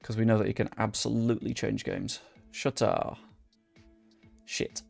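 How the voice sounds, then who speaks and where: Chatty man with a gravelly voice. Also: fairly low pitch, steady tone, a little slow, a little mellow. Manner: chatty, swears under his breath, talks to viewers and chat. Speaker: gaming YouTuber. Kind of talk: casual live commentary over a football management game. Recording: dry close mic with game music behind.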